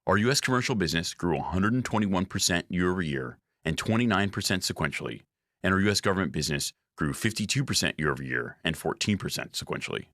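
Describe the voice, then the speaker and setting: Male, very deep voice, dry close sound; formal corporate executive delivering scripted quarterly results. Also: expressive, pushed and bright, no room sound. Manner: formal, measured reading of figures. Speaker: company executive presenting financial results. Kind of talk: scripted earnings call presentation.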